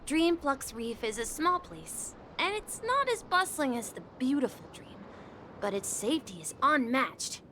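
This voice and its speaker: A woman's voice: high voice, very animated, unhurried, sharp tone, a little hiss or hum, full character voice. A woman voicing a polite, eager, innocent young boy bellboy in a video game, earnest and slightly hesitant. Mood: reassuring, helpful.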